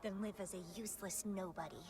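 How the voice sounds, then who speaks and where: A woman voicing a proud, unstable, haunted young woman in a video game, with breathy, theatrical delivery. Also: mid-range voice, a little fast, background noise, natural voice. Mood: solemn, defiant. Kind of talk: dramatic video game voice acting. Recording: game music behind the voice.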